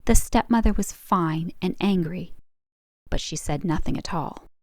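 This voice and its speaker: A woman's voice: lowish voice, measured pace, a little mellow, no echo, studio quality. A woman reading a scripted folk tale as a storyteller, in storybook narration style. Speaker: storyteller, channel host.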